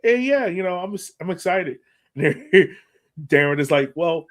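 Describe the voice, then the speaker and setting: A man's voice: high-pitched, lively intonation, a little slow, a bit bright. A man hosting a sports podcast, giving casual fan commentary with playful, acted-out banter.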